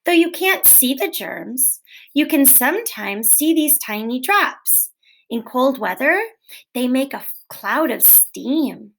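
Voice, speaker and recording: A woman teacher reading a children's book aloud in a gentle, clear, expressive way, posing questions to young listeners. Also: sits high, slow pace, low-quality recording. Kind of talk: read-aloud storytelling for children.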